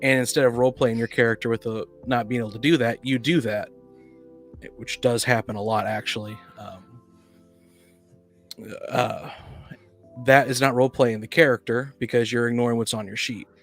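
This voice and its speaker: A man's voice: mid-range voice, steady tone, normal speed, fairly bright tone. A man in a casual debate about tabletop games, making his points bluntly and insistently. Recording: faint music in the background. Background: faint music.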